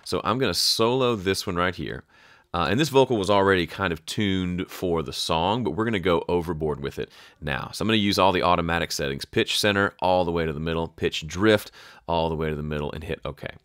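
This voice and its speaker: A man with a low voice talks through a software tutorial in a dry, close studio sound. He is casual and conversational, often thinking aloud and interrupting himself as he explains. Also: a little fast, no room sound. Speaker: audio production tutorial host. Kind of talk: casual screen-share tutorial talk.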